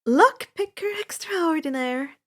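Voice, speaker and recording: A woman's voice: very high voice, animated, slow pace, voice pushed up, full character voice. A woman voicing an exasperated, bossy young girl adventurer in a story, scolding and emphatic. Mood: teasing.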